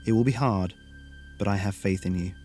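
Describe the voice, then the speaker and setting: Man playing a patient, low-voiced male teacher. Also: brisk, a little mellow, soft background music, natural voice. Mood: reassuring. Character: patient, encouraging male teacher of psychic skills.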